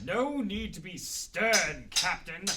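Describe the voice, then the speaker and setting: Man as a theatrical, high-pitched bantering superhero. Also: lively intonation. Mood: teasing. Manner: bantering, deliberate delivery. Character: witty, defiant pun-slinging male superhero, cool and theatrical. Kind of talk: radio drama acting. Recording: noisy, slightly distant microphone.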